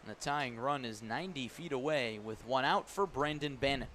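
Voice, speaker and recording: A man calling a baseball game live on radio as the play-by-play announcer, describing each pitch in detail. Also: normal speed. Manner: descriptive, excited calls rising on big plays. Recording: clear background noise at ballpark. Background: ballpark ambience.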